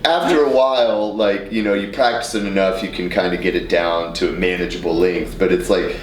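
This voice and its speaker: Low-voiced male podcast host, very echoey. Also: fairly even intonation, a little fast. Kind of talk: casual chat. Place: echoey studio room. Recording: echoey room, faint background noise.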